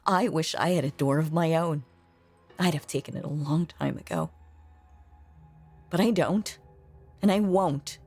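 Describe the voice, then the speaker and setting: Woman acting as breathy, lonely ghost girl. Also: sits low. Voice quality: breathy, often slipping into whisper. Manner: hushed, halting, tender, pleading delivery. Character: lonely possessive young female ghost, sweetly sinister. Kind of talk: intimate scripted audio roleplay, ASMR-like.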